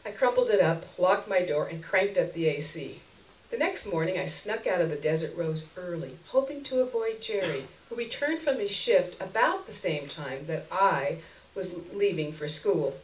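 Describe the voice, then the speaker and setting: A woman's voice: fairly low pitch, animated, normal speed, a little hiss or hum. A woman reading a short story aloud expressively as a stage performance. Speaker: story reader. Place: roomy indoor stage event. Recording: roomy echo, recorded far from microphone.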